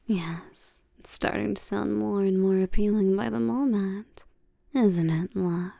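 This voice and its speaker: Casting: actress as domineering vampire queen for intimate audio roleplay; unhurried, mellow, breathy delivery, teasing and condescending toward humans. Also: mid-range voice, no room sound, studio quality. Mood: seductive, hypnotic. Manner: slow, teasing, domineering, with terms of endearment.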